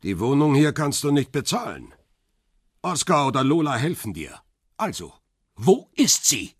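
An actor playing a hard, bad-tempered man interrogating someone in a radio crime drama, husky, terse and relentless. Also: mid-range voice, wildly swooping pitch, unhurried, no room sound, clean studio sound, heavily acted. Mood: cold, menacing. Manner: terse, insistent questioning.

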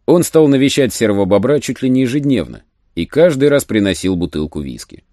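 A man narrating an adventure audiobook in a measured, literary storytelling manner. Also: medium pitch, sing-song, warm tone, no room sound, clean studio sound.

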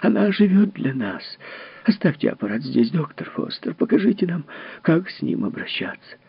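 A man's voice: on the high side, animated, moderate pace, gravelly, husky, pitched above the performer's own voice, heavily acted. A man voicing a middle-aged grieving mother who pleads and turns frantic. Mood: pleading. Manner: pleading, rising to shrieks. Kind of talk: audiobook reading. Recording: dull lo-fi recording.

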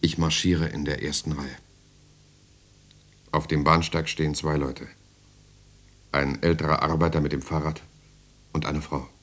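Weary prisoner telling his story, super deep voice, hushed dramatic narration. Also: little pitch movement, measured pace, pauses often, full character voice. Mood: weary, tense. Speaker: actor, first-person narrator of a radio play.

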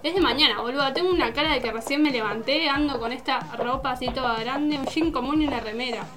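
A woman's voice: sits high, fast talker, natural voice. A young woman with an Argentine accent playing an indignant, sarcastic student chatting colloquially in a radio drama. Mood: exasperated, indignant. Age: young adult. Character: indignant young female student venting to a friend. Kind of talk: naturalistic radio drama dialogue.

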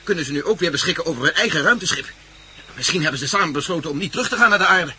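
An eager adventure sidekick, talks fast, really sharp insistent voice, old radio play. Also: male voice, fairly high pitch, expressive, slight background noise. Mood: eager, practical. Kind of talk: Dutch radio play acting.

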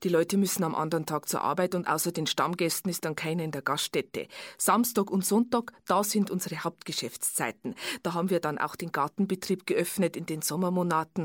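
An actress playing a tired tavern waitress who gives a detailed, halting witness statement in a naturalistic German radio play. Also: female voice, fairly low pitch, no echo, clean studio sound, lightly acted. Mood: matter-of-fact, tired. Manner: observant, detailed, halting recollection.

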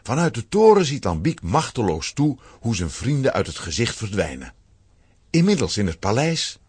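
A man giving a dramatic, expressive scripted narration for a children's audio drama. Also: medium pitch, moderate pace, slightly rough, no room sound.

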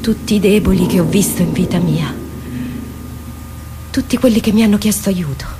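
A woman playing a loyal soldier in a radio drama, speaking in an earnest, measured and emotional way. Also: medium pitch, over music, natural voice. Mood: moved, devoted. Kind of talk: theatrical radio drama monologue.

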